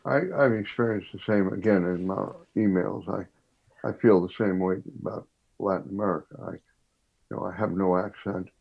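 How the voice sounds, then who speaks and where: An older man with a husky, gravelly voice speaks as an interview guest. His phrasing is measured and a little formal. The sound is dull and lo-fi. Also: low-pitched, fairly even intonation. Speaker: professor guest. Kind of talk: reflective interview talk.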